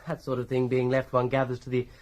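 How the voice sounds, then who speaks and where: An urbane British solicitor played by a male actor in a radio crime drama. His voice is of middling pitch, measured and arch. He explains office life with dry irony and a faintly superior air. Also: monotone, a little mellow, muffled recording, natural voice. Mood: wry, explanatory. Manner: arch, drily ironic, measured.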